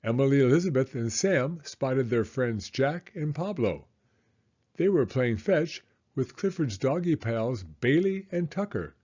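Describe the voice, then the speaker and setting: A man reading a children's story aloud in an expressive, deliberate storytelling manner. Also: mid-range voice, breathy edge, lo-fi sound. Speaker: storybook reader. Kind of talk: children's read-aloud narration.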